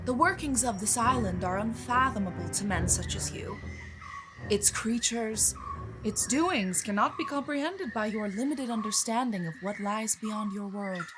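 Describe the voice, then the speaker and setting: An actress playing a proud, wise giant talking bird who speaks formally and cryptically in an audio drama, disdainful of men. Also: female voice, a little high, normal speed, music in the background, close to an everyday voice, low-quality recording.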